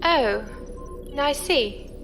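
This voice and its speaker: Inquisitive female crew newcomer, high voice, unhurried pace, uneasy, sci-fi audio drama. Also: animated, vocal fry, music in the background, exaggerated voice. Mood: curious. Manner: questioning, informal. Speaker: voice actor. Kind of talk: science fiction audio drama dialogue.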